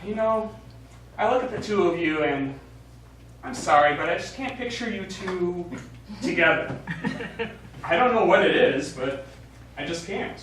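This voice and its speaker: An actor playing a protective, peacemaking space mission commander in a stage play. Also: male voice, sits high, animated, measured pace, pauses often, a bit bright. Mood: amused, exasperated. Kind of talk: stage acting. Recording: very echoey distant stage recording, faint noise.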